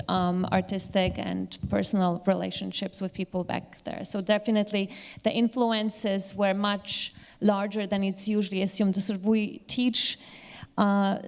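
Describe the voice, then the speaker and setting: A woman panel speaker answering audience questions in a halting, explanatory way. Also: mid-range voice, a little mellow, clean tone. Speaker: panel speaker, Yiddish literature teacher. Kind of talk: live question-and-answer talk.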